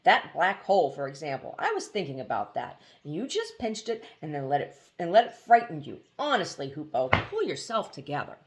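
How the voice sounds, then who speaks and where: A plucky boy hero read by a woman from a storybook speaks in a lowish voice. He chides his companions with forced light-heartedness. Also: huge pitch swings, fairly quick, few pauses, a little hiss or hum. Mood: brisk scolding.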